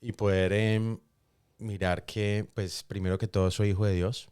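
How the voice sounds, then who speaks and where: A man giving a personal testimony in conversation, speaking reflectively with halting pauses. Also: on the low side, level tone, a little mellow, clean tone, clean studio sound. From Colombia, raised in New York from age four. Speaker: podcast guest. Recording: roomy echo, faint music behind.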